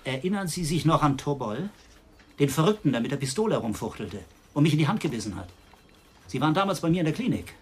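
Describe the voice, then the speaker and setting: Ein Schauspieler spielt in einem deutschen Krimihörspiel einen besorgten, mitteilsamen Klinikarzt, der ernst und erklärend berichtet. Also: male voice, on the high side, very animated, fast, a little hiss or hum. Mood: earnest. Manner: explanatory, earnest. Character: concerned, talkative male hospital doctor reporting a case. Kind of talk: German radio crime drama acting.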